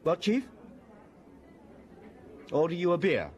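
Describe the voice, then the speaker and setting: Eager, animated junior police inspector. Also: male voice, high voice, slow, slight background noise, full character voice. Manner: polite, keen, respectful. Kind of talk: radio drama acting.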